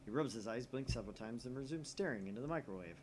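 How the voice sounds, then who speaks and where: Male gaming host as visual novel narrator, lively pitch movement, audible noise on the recording. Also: fast talker.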